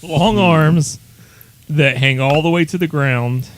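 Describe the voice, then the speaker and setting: A man's voice: a little high, slow, a little hiss or hum. A man co-hosting a casual podcast chat with friends, laughing often as he talks. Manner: animated, laughs often while talking. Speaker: podcast co-host. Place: room with several people.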